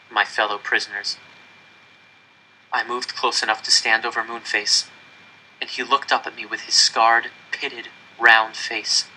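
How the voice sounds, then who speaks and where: A man's voice: medium pitch, bright, forceful tone, a little hiss or hum, poor audio. A man reading a first-person story aloud in an earnest, suspenseful narrating manner. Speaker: audiobook-style reader.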